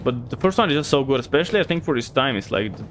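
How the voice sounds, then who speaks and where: A man's voice: mid-range voice, expressive, racing, fairly bright tone, a little hiss or hum. A man from Bulgaria with a light Bulgarian accent, a streamer chatting loosely with viewers and thinking aloud as he plays. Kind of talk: casual gameplay chat with viewers.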